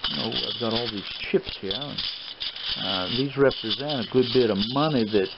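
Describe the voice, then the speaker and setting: A man's voice: medium pitch, normal speed, natural voice. A man playing an old Colonel in an improvised ASMR role play, giving stern, fatherly admonishment in a rambling, hesitant way. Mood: grave admonishing. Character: old Colonel lecturing his friend's gambling son, paternal, stern. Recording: dull lo-fi audio with clear background noise.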